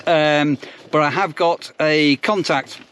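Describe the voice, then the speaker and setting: A man's voice: on the high side, fairly even intonation, slow, fairly bright tone, slight background noise. A man with an English accent talking casually in a rambling way, as if narrating his day for a vlog. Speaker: vlogger. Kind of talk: casual vlog chat to camera.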